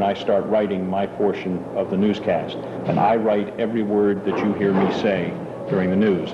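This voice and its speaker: A middle-aged man, a TV news anchor, calmly explaining his work day in an interview, with occasional ums. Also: fairly low pitch. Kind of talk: relaxed interview answers. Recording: muffled old camcorder tape with hiss.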